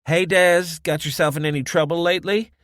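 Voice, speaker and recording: A woman's voice: fairly low pitch, steady tone, a little fast, dry recording, studio quality, voice pushed up, close to an everyday voice. A man voicing a cheerful, teasing woman who runs a small café kitchen. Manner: teasing, bantering. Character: cheerful sharp-tongued woman café cook.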